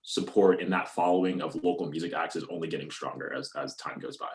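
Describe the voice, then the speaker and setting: A young man from North Alabama with a deep, bassy voice, chatting casually as a musician guest with plenty of "you know" and "um". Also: quick pace. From North Alabama, USA. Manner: relaxed, many fillers like "you know" and "um". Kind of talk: casual interview talk.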